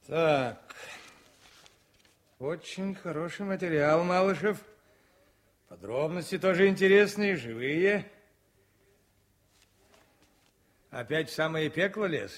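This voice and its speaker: A commanding yet fatherly army commissar in a radio play. His pitch is fairly high and moves expressively. He takes his time, in short, clipped phrases. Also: lively intonation, unhurried, pauses often. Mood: approving. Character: army newspaper chief, battalion commissar, brisk but fatherly man. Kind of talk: Soviet radio play acting.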